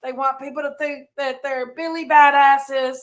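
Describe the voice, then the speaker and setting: A woman with a Southern American accent, raspy and congested from allergies, chatting casually on a livestream and reading out viewers' names. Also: high voice, level tone, normal speed. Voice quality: raspy, gravelly. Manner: drawling, reads out chat names. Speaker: livestream host.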